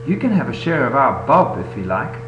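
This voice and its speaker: A man voicing an eager, friendly young schoolgirl in a children's storybook, with lifted, bright exclamations. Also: mid-range voice, very animated, fairly quick, muffled recording, pitched above the performer's own voice, close to an everyday voice. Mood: friendly, curious. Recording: echoey room, noisy webcam audio with hum.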